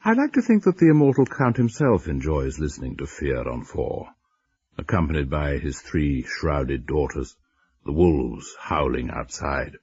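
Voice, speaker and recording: An actor playing a macabre storyteller host, narrating radio horror with ominous, theatrical deliberation. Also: male voice, extremely low pitch, a little mellow, slightly rough, dry recording, dull audio, exaggerated voice. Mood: macabre relish.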